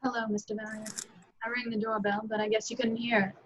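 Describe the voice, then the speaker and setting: A woman playing a sultry, pleading cartoon femme fatale in an amateur table read of a film script, with a breathy delivery. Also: fairly high pitch, little pitch movement, a little fast, slight background noise, lightly acted. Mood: coy, nervous. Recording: dull, lo-fi home recording.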